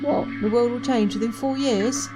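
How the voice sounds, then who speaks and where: An actress playing an anxious, devoted young wife in a dystopian audio drama, pleading and earnest. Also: female voice, on the high side, steady tone, moderate pace, natural voice. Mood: anguished. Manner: pleading, earnest, old-fashioned phrasing. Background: music.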